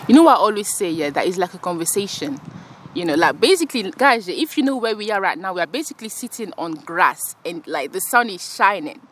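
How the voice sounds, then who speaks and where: A woman's voice: mid-range voice, sing-song, a little fast, fairly bright tone, slight background noise. Chatty woman hosting a podcast outdoors. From France. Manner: chatty, laughs often, many fillers. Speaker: podcast host. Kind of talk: casual podcast chat. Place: outdoors, sitting on grass.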